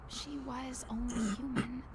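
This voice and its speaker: A woman playing a composed, compassionate young female investigator in a video game, speaking in an earnest, measured way. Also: a little high, animated, creaky, over a noisy crowd, heavily acted. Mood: sorrowful.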